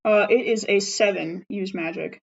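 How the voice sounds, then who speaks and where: A woman's voice: mid-range voice, moderate pace, gravelly, husky, lo-fi sound. A woman chatting casually as a player in a tabletop roleplaying game. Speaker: player in an actual-play podcast.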